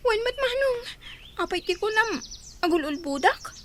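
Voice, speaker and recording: A woman's voice: very high-pitched, sing-song, normal speed, bright, forceful tone, a little hiss or hum, full character voice. A woman voicing an anxious, affectionate little girl in a radio drama, with a childlike, plaintive delivery. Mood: distressed, urgent.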